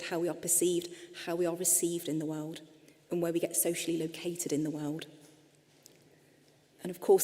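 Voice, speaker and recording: A woman's voice: lowish voice, dark tone. Earnest English woman lecturing in a hall. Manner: earnest, explanatory, addresses audience directly. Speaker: clinician giving a presentation. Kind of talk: lecture-style presentation with slides. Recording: long hall reverb.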